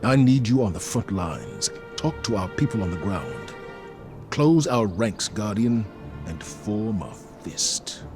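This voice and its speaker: Deep, solemn military commander. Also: male voice, very animated, exaggerated voice. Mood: rallying. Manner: grave, rousing, measured. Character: solemn, resolute military commander rallying his troops. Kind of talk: video game character acting. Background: music behind the voice.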